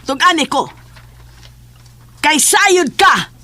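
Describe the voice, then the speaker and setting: A woman's voice: high voice, lively intonation, extremely slow, exaggerated voice. An actress playing a fierce, suspicious mother with mystic powers, scolding and accusing in a radio drama. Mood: angry, accusing.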